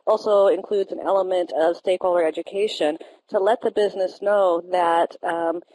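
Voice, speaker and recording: A woman speaking as a panelist, a business analyst and project manager who explains her points in a measured way with occasional fillers. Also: fairly low pitch, steady tone, warm tone, dry recording, rough recording. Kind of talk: professional panel discussion answer.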